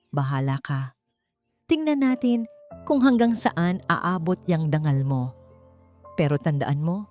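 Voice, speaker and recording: A woman's voice: lowish voice, mellow. A woman voicing a menacing, condescending male boss in an audiobook drama, coaxing and taunting, with music behind. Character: menacing, manipulative male hotel boss, sneering and bribing.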